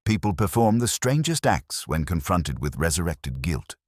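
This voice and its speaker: A man reading a scripted first-person detective story in a measured, literary, brooding manner. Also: sits low, big pitch swings, dry recording, clean studio sound. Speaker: audio drama narrator.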